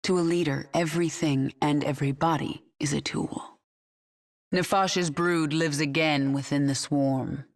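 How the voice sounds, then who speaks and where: A woman's voice: deep voice, fairly even intonation, measured pace. An actress playing a ruthless alien swarm queen who speaks in a cold, controlled, commanding way.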